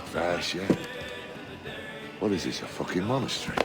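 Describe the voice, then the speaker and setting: A man playing a gruff, sneering pirate captain with a gravelly voice in a video game. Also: low voice, animated, normal speed. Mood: contemptuous. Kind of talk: video game cutscene acting. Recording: loud music behind dialogue.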